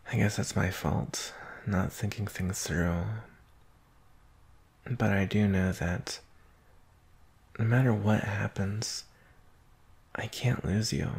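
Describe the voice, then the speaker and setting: A young man playing an anxious, devoted werewolf in an intimate ASMR romantic roleplay, speaking hesitantly in a husky, breathy voice. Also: sits low, darkish tone, heavily acted. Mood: pleading, vulnerable. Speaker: roleplay voice actor.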